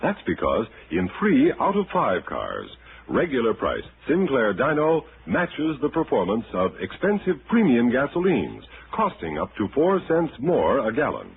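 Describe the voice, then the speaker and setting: American male radio announcer, 1960s style, medium pitch, very animated, formal scripted sales read, tinny broadcast sound. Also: a little slow, creaky, slight background noise. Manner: formal, polished sales delivery.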